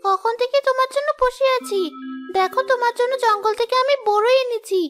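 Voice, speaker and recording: A woman's voice: extremely high pitch, a little fast, a bit bright. A woman voicing a selfless, devoted little daughter in a moral-story cartoon, sweetly pleading, with music behind. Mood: earnest, caring.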